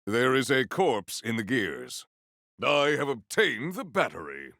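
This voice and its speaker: A man voicing a big, formal, earnest warrior in a video game, with stately, measured phrasing and a solemn temper. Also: huge pitch swings, a bit bright, no room sound, clean studio sound, full character voice. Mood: measured, grave. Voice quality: deep, gravelly.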